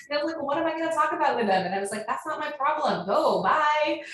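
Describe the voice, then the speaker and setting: A woman with an American accent answering questions as a guest author in a casual, animated, chatty way that leans on "like". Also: high voice, fairly quick. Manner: animated storytelling with frequent "like". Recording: very echoey, distant, faint noise.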